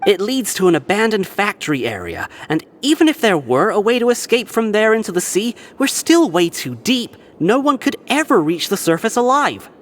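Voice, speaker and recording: Actor as a brusque, animated prison gang member. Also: mid-range voice, normal speed, few pauses, slight background noise, natural voice. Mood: resigned, explanatory. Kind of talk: video game voice acting.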